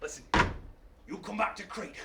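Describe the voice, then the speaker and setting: A man's voice: high voice, moderate pace, pitched below the performer's own voice. A man voicing a mad, boastful, muscular king who bellows, swears and taunts in a live storytelling performance. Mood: gruff threatening. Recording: roomy, distant mic, faint noise.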